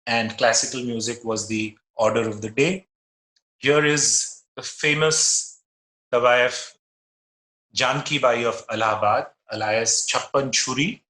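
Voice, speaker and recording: A man with an Indian English accent giving an online lecture as a musicologist, explaining in a measured way with frequent pauses. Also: mid-range voice, bright, forceful tone, echoey room, rough recording. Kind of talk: illustrated slide lecture.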